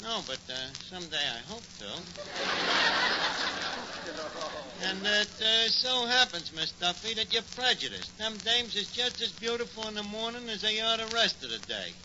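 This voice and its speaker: An actor playing a wisecracking tavern manager with a New York accent and slangy, mock-grand speech in old radio comedy. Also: male voice, high voice, big pitch swings, normal speed, few pauses. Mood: cocky. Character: scheming, wisecracking male tavern manager, malaprop-prone. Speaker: sitcom lead. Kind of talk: 1940s radio comedy acting. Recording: noisy, dull 1940s radio recording.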